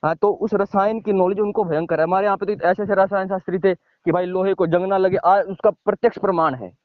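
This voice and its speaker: High-pitched man explaining emphatically. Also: fairly even intonation, fairly quick, no room sound. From village in India. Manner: emphatic, repetitive, checks listener with short tag questions. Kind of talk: informal explanatory phone talk. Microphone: phone call. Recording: dull lo-fi phone call recording.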